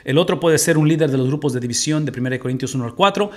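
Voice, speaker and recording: A man's voice: on the high side, rapid-fire. A middle-aged Mexican man with a Mexican Spanish accent, teaching a Bible study from a home room and putting rhetorical questions to his listeners. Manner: animated, gestures while teaching, asks the audience rhetorical questions.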